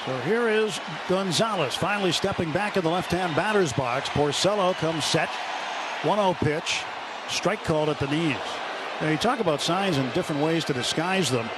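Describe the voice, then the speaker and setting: A man calling a baseball game on the radio, building to shouts on big plays. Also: fairly high pitch, moderate pace. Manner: calls big plays with rising, shouted excitement. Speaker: play-by-play announcer. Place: broadcast booth at a ballpark. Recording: radio broadcast with loud crowd noise.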